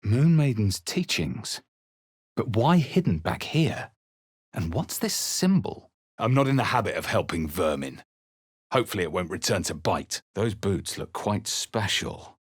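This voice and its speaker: A husky, wary adventurer hero. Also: male voice, fairly low pitch, very animated, a little slow, no room sound, clean studio sound, exaggerated voice. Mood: wary, wry. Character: guarded adventurer hero, wry, suspicious, casts Latin spells. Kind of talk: video game character voice lines.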